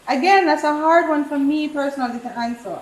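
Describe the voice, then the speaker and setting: A woman's voice: high-pitched, a little fast, roomy sound, rough recording. A woman with a New York and Jamaican accent, chatting opinionatedly and laughing easily while she cooks in a rental kitchen. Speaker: cooking competitor. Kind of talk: casual chat while cooking.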